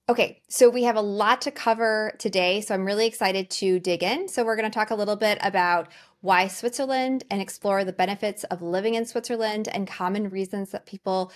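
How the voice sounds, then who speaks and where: A middle-aged woman with an American accent hosts a professional webinar. She speaks at a medium pitch, explaining things to an audience in a presentation style. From United States. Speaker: webinar host, financial planner.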